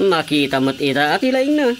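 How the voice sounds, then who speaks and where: A man's voice: on the high side, a little hiss or hum. A man acting an ambitious, mocking village councilor with a dismissive manner in an Ilocano radio comedy drama, with an Ilocano Filipino accent.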